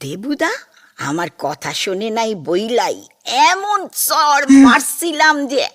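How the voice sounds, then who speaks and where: A woman's voice: a little high, big pitch swings, slow pace, a bit bright, exaggerated voice. A woman playing a bossy, volatile, half-mad domestic attendant in a comic audio drama, with a rustic East Bengali accent and a coaxing, scolding manner. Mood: menacing, coaxing.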